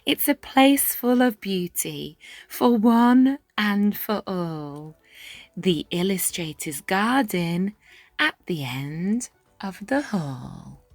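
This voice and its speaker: A woman reading a rhyming children's story aloud in an expressive, measured way, with dramatic pauses and hushed moments. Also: medium pitch, gravelly, no room sound. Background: background music.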